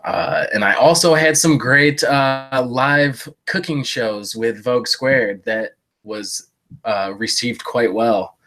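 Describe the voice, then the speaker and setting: A man's voice: mid-range voice, takes it slowly, sharp tone. A man with a stuffy, congested voice chatting casually on a live stream about thrift finds, rambling with lots of fillers and self-deprecating jokes. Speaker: thrift reseller streaming a haul. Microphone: live-stream setup.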